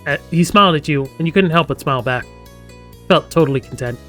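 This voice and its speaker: This is a man with a slightly high voice, live-streaming a game. He reads the story text aloud in a casual way and drops in chatty, joking asides. Music plays softly behind him. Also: fast.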